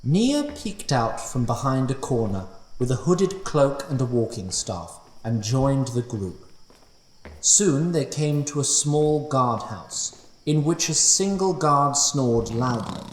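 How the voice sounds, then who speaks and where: A man narrating a fantasy audio drama in a scripted, expressive storytelling manner. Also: mid-range voice, slow. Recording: roomy, slightly distant mic.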